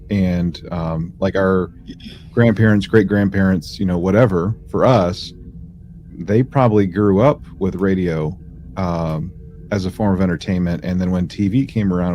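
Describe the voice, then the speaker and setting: Lower-voiced man hosting a casual podcast. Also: warm tone, a little hiss or hum. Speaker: podcast host.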